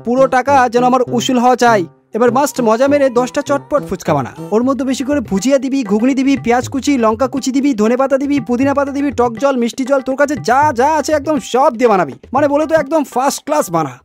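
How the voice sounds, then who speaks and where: A fussy cartoon customer acted out by a voice performer rattles off demands in a high, fast voice. The delivery is bossy, greedy and whiny, nagging and wheedling over background music. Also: darkish tone, natural voice. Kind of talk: Bengali comic cartoon dialogue.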